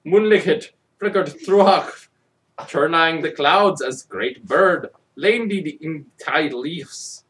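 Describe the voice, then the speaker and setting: A man's voice: a little high, very slow, a bit bright, recorded from a distance, rough recording. A man giving a mock-dramatic storybook reading with sardonic, profane asides in a theatrical delivery. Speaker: comic storybook reader. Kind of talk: mock-dramatic reading with ad-libbed crude commentary.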